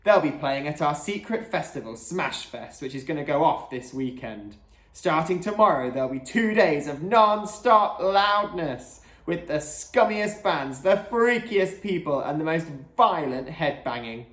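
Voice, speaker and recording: A reader doing a gruff, gravelly metal radio DJ. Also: medium pitch, animated, a little slow, a bit bright, reverberant. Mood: rowdy hype. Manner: brash, slangy, hyping. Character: gruff, menacing male pirate-radio metal DJ.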